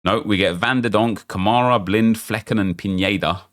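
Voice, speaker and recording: A man, chatty and excitable, thinking aloud as he opens packs in a football game, losing his voice and getting unwell. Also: on the low side, normal speed. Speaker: gaming YouTuber. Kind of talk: casual pack-opening commentary.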